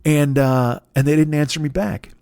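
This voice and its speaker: A man's voice: fairly high pitch, steady tone, normal speed, creaky, warm tone, smooth, no echo, clean studio sound. A man in his forties hosting a podcast, telling a comic story in a rambling, profane, exasperated way. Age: 40s. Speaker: podcast host. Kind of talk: comedic storytelling monologue.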